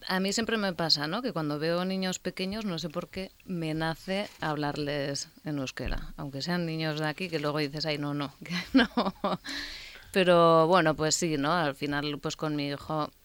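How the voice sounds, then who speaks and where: A woman's voice: sits low, steady tone, moderate pace, smooth. A woman chatting as a guest, talking freely with many fillers and restarts. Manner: chatty, full of fillers and self-corrections. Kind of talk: casual conversation.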